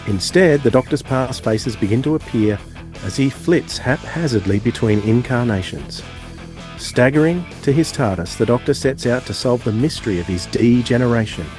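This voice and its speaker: A man's voice: mid-range voice, darkish tone. A man co-hosting a podcast, talking conversationally and reading out prepared text. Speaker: podcast co-host. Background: acoustic guitar music.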